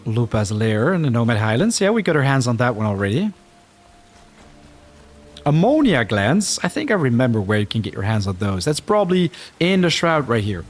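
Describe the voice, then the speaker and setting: A man with a Dutch-Flemish accent gives live game commentary, talking in a lively, swinging voice at a middle pitch. He reacts to the action on screen and answers viewer comments as he plays. Soft music plays behind him. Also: normal speed. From Netherlands. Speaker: streamer.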